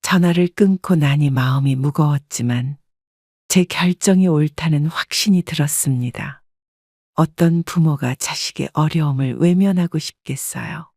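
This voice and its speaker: A woman in her seventies calmly narrating a reflective first-person life story. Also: low voice, moderate pace, dry recording, clean studio sound. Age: 70s. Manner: calm, reflective.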